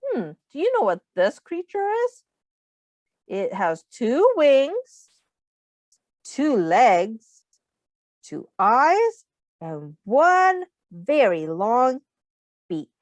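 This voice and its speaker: A woman teacher reading a picture book aloud to young children, deliberate and expressive, pausing to put questions to her listeners. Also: fairly high pitch, no room sound.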